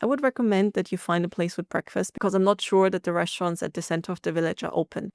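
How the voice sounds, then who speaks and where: A young woman vlogging to camera in a chatty, relaxed way, recorded indoors on a close microphone. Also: fairly low pitch, creaky, no room sound. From half Thai, half Austrian. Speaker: travel vlogger. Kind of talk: casual travel vlog to camera. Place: indoors by a window overlooking a pool. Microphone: studio mic in front of her.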